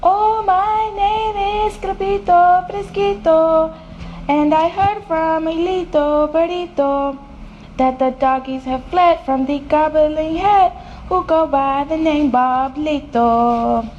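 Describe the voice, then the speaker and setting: A woman's voice: sits high, sing-song, slow, darkish tone, highs cut off, soft background music, voice pushed up, exaggerated voice. A woman voicing a naughty, boastful young kitten boy who plays at being a hero, in a bold singsong storybook style. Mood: grandly boastful. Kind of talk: children's storybook character voice.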